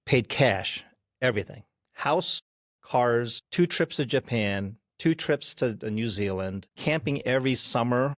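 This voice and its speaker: A man in his sixties, a podcast guest, recalling his childhood in an unhurried way with frequent pauses. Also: mid-range voice, expressive, no echo, studio quality. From Korea. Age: 60s. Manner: unhurried, pausing with ums while recalling. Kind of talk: storytelling interview.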